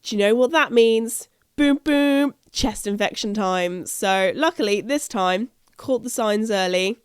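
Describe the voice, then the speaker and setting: A British woman hosting a podcast, chatting in a rambling way with a croaky, husky voice from a chest infection. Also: medium pitch, unhurried, dry recording, clean studio sound. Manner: chatty, rambling, self-interrupting. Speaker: podcast host. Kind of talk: casual podcast chat. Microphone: podcast microphone.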